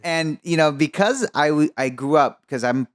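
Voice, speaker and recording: A man from Egypt hosting a podcast, upbeat and chatty with quick asides. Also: fairly high pitch, animated, no echo, clean studio sound. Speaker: podcast host. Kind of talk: conversational interview.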